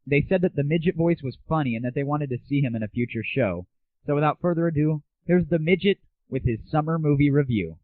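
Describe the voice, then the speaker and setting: A man's voice: fairly high pitch, fairly quick, no echo. Muffled lo-fi recording of an irreverent man hosting. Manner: crude, sarcastic, irreverent. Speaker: host of a solo internet audio show. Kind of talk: casual scripted monologue about games and the internet. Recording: muffled lo-fi 1990s internet audio, dry.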